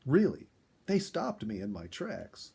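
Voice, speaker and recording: A man reading a first-person animal story aloud in an expressive storyteller's style. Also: medium pitch, measured pace, creaky, slightly rough. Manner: expressive, acts out dialogue. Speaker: storyteller, reader.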